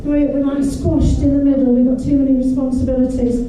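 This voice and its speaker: A woman in her forties with a Manchester accent, performing a stand-up comedy set with dry, deadpan delivery and crude punchlines. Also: high-pitched, brisk, a little hiss or hum. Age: 40s. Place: comedy club stage. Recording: echoey club room, distant mic.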